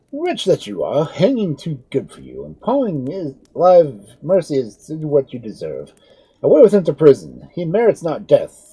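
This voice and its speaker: A man's voice: fairly high pitch, mellow, slightly rough, voice pushed up, exaggerated voice, poor audio. A man voicing a pompous, fat town magistrate who blusters and gives indignant commands.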